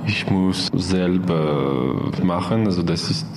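A man's voice: sits low, slow pace, a little hiss or hum. A young man from France, answering interview questions while searching for words in learner's German. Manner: searching for words, many fillers. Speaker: volunteer interviewee.

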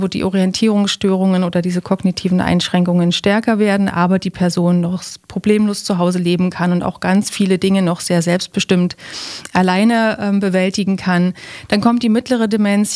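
A woman with a medium-pitched voice answers interview questions as an expert. She explains patiently and steadily, pausing on small fillers as she goes. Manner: calm, explanatory, many fillers.

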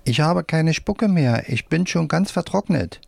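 High squeaky hand puppet for a kids story, cheeky, whiny, wails a lot, super dramatic. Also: male voice, lively intonation, measured pace, creaky, dry recording, exaggerated voice. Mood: plaintive.

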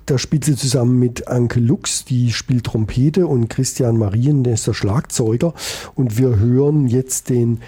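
A man's voice: mid-range voice, unhurried, mellow, husky. A man hosting a radio jazz show, chatting casually between tracks, rambling with frequent hesitations and fillers.

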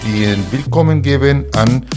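A man's voice: medium pitch, unhurried, over music. A man hosting a podcast and welcoming guests, speaking carefully with small grammar slips. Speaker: podcast host.

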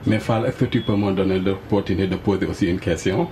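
A man's voice: low voice, fast talker, a little mellow, a little hiss or hum. A man, the studio technician, speaking up with polite, formal phrasing. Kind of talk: radio studio remarks.

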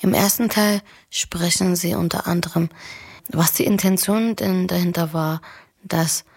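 A woman's voice: fairly low pitch, steady tone, measured pace, slightly rough. A woman introducing a recorded interview in a short spoken lead-in. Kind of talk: scripted introduction.

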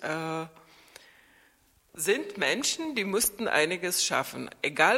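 A woman's voice: on the low side, sharp tone, big-room sound. A woman whose first language is Czech, chatting casually as a radio co-host, thoughtful and hesitant with many fillers. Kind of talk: casual conversation.

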